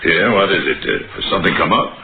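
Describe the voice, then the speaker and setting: An actor playing a blustering, agitated, wealthy American man with a gravelly voice in an old-time radio drama. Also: low-pitched, expressive, fast talker, background noise. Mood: tense, exasperated. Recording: muffled old radio broadcast recording.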